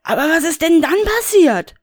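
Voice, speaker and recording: A man's voice: sing-song, normal speed. A man voicing a furious, paranoid, foul-mouthed man in his thirties who rants and swears. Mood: ranting angry. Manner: shrill, ranting, swearing. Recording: echoey room with long reverb.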